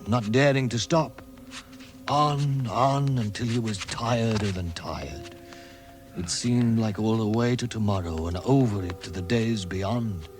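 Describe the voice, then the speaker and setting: A man narrating a fantasy tale as a measured storyteller in a vintage radio drama. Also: mid-range voice, natural voice. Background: music.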